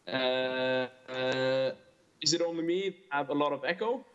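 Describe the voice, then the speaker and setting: A man's voice: mid-range voice, measured pace, a bit bright, clean tone. A man in his early twenties with a Norwegian accent, earnestly giving a prepared conference presentation. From small island in northern Norway. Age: early 20s. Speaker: fishing tourism operator, panel speaker. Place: remote call into a conference. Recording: dull lo-fi video call audio.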